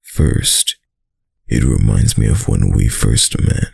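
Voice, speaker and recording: A man playing a dominant, doting young mafia heir in an intimate boyfriend roleplay, with a very deep, gravelly voice and a slow, tough-guy delivery. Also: lively intonation, darkish tone, no room sound, exaggerated voice. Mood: fond reminiscing. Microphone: close, dry microphone.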